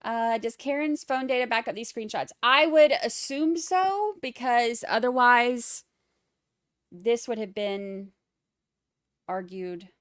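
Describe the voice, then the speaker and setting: A woman's voice: on the high side, takes it slowly, smooth, no room sound, studio quality. A woman with a Boston accent hosting a live trial commentary stream, chatting wryly and reading out viewer comments. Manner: chatty, wry, rambling asides.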